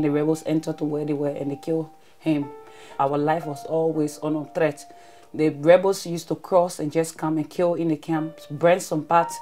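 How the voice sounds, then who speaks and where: A woman's voice: deep voice, mellow. Earnest, emphatic woman. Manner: earnest, emphatic, repeats phrases. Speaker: interviewee, former refugee. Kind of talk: documentary interview testimony.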